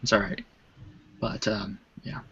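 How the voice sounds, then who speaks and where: A man chatting on an online voice call, laying out his opinions in a measured way and at length. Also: on the low side, level tone, sharp tone, slightly rough. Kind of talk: casual online voice chat. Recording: lo-fi call audio.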